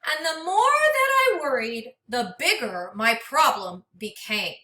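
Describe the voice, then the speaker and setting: A woman reading a picture book aloud to young children like a teacher, expressively and dramatically, pausing to speak to her listeners. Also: sits high, sing-song, pushed and bright. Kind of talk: interactive children's read-aloud with questions to listeners. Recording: echoey room, distant microphone.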